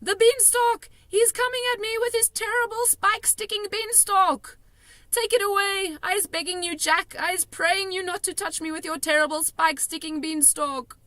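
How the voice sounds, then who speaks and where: A woman's voice: very high voice, fairly even intonation, normal speed, pushed and bright, dry recording, pitched above the performer's own voice. A woman voicing a huge man-eating male giant from a storybook, screeching and bellowing pleas in broken grammar as a nightmare terrifies him. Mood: pleading, desperate. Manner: pleading, roaring in broken grammar.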